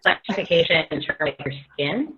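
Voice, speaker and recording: A woman from the Philippines with a slightly low voice chats as a guest. She speaks casually and reflectively. The sound is thin and phone-like, as heard over a video call. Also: animated, fairly quick, crowd noise. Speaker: guest, chef.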